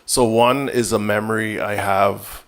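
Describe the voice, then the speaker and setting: A man, a physician guest in an interview, answering in a measured, reflective way with many fillers and restarts. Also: on the low side, fairly even intonation.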